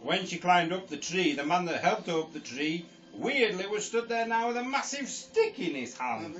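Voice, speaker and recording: A man's voice: high voice, very animated, moderate pace, music in the background. A man telling an improvised comic story in a rambling way, giggling at his own jokes. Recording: distant lo-fi home recording with hiss.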